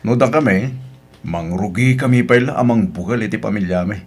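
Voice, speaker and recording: A man with an Ilocano accent voicing Manong Guryo, an older male cousin in a radio drama, lively and consoling. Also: lowish voice, a little fast, husky, faint music behind. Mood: teasing.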